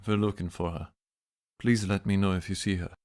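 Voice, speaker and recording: An actor playing a grave, fatalistic Victorian London man in a radio drama, speaking in a measured, somber, enigmatic way. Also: deep voice, fairly even intonation, a little mellow, close to an everyday voice. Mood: measured, guarded.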